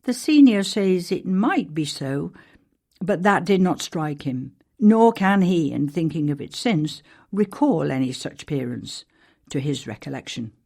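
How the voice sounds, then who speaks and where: A woman narrating an archaic newspaper account as a ghost story, in a measured, formal storytelling manner. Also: low-pitched, lively intonation, gravelly, warm tone. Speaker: actor reading a historical newspaper article as a ghost story.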